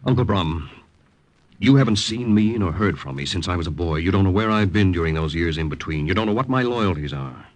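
An actor playing a proper, earnest young Allied spy, speaking and narrating in a measured, polite way in an old-time radio drama. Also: male voice, low voice, animated. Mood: earnest, wary. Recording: vintage radio recording with faint music.

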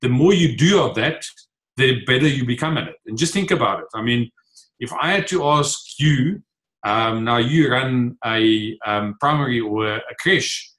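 A man's voice: medium pitch, normal speed, fairly bright tone, low-quality recording. A man in his forties from the Free State with a South African accent, Afrikaans his first language, answering interview questions earnestly from a home office. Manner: earnest, gestures while talking, frequent fillers. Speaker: guest, author and entrepreneur. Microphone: video call.

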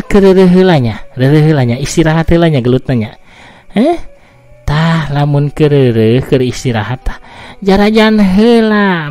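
A man voicing a chatty middle-aged village woman who hawks snacks in fussy, rapid chatter. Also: on the low side, mellow, voice pushed up.

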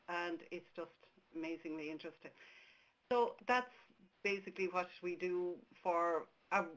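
A woman's voice: on the low side, fairly bright tone, rough recording. A woman from Ireland, a native Irish speaker with an Irish accent, giving a conversational webcast presentation over a webcam mic with frequent restarts. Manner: conversational, many restarts and fillers. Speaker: tour operator presenter.

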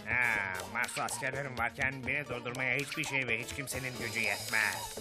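A man's voice: medium pitch, brisk, music in the background, natural voice. A man playing a bombastic, gloating colonel villain in a Turkish-dubbed cartoon, pompous and commanding.

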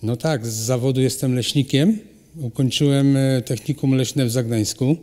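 A middle-aged man, a ceramic artist, answering interview questions in a halting way with many pauses and restarts. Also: mid-range voice, fairly even intonation, warm tone. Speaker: ceramic artist and cultural animator, guest. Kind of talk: hesitant conversational interview answers. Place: hall at a cultural centre. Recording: long reverb of a hall.

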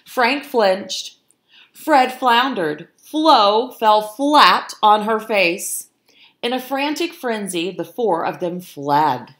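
Slow, expressive woman reading a storybook. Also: mid-range voice. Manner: expressive, explanatory. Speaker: storytime reader, teacher. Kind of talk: reading a picture book aloud and explaining it to children.

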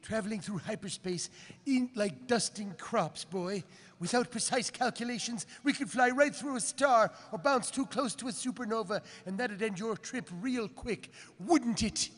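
High husky swaggering space pilot, echoey hall. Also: male voice, moderate pace, a bit bright. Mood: brash. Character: swaggering high-voiced cartoon take on a macho space smuggler pilot. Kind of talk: live comic script reading.

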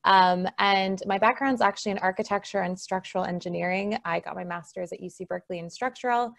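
A woman's voice: medium pitch, steady tone, quick pace, gravelly, fairly bright tone. A woman from Argentina in her thirties giving an earnest, explanatory slide presentation over a video call. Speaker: conference presenter.

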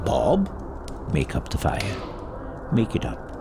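An actor playing an elderly reformed miser, giddy, generous and exuberant, in a theatrical audio drama. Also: male voice, low-pitched, huge pitch swings, slow, creaky, noisy background, heavily acted. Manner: exuberant, chuckling, mock-stern at times.

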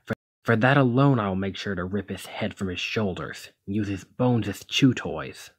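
A man playing a protective, conflicted demigod hero in a one-sided ASMR roleplay, speaking emotively and haltingly to the listener. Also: fairly low pitch, slightly rough. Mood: protective, grim. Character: brawny male demigod hero, protective, conflicted, exasperated lover.

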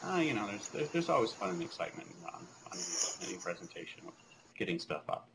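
A man's voice: fairly low pitch, sing-song, brisk, background noise. A man in his thirties giving a conference keynote over a video call from a small office, conversational with many fillers and restarts. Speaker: keynote speaker. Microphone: video call webcam.

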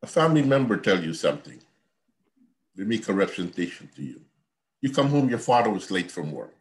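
A man with a low voice lectures students over a video call. He explains in an earnest, repetitive teacherly way, as if from a home office. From Guyana. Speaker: accounting professor. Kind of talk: online university lecture.

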